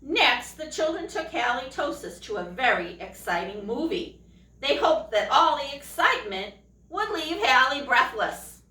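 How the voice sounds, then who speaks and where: Echoey, higher-pitched woman reading a storybook slowly. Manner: expressive, pausing to ask young listeners questions. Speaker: storytime reader, teacher. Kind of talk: reading a children's picture book aloud. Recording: echoey room, distant microphone.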